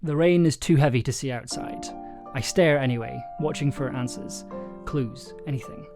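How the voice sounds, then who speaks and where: A man's voice: mid-range voice, normal speed, darkish tone. A man livestreaming in a casual, hesitant way, thinking aloud and reading from his own story draft. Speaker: streamer and audio drama writer. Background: chill soundtrack music.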